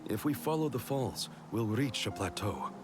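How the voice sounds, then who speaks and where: Man playing a level-headed game adventurer, lowish voice, expressive pitch, measured, music behind. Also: natural voice. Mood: calm, practical. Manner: measured, practical.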